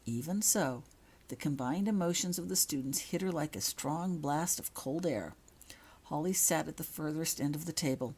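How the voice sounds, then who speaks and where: Low, husky woman reading a story aloud. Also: moderate pace, darkish tone. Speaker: author reading own fan fiction. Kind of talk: scripted storybook narration.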